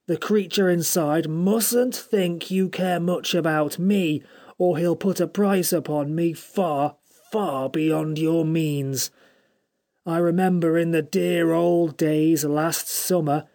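A man voicing a small, sad magical creature that speaks softly, pleading and close to tears. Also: fairly high pitch, pitched above the performer's own voice.

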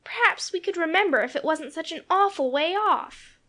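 A man voicing a small, bright, naive little girl in an audiobook. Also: very high voice, lively intonation, moderate pace, voice pushed up, full character voice. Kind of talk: audiobook character voice.

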